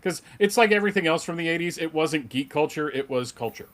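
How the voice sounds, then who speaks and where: A man's voice: on the high side, animated, fast talker, a bit bright. Wry, stammering middle-aged man. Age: 50s. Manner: wry, self-deprecating, stammering starts. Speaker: podcaster, panel guest. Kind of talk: casual self-introduction.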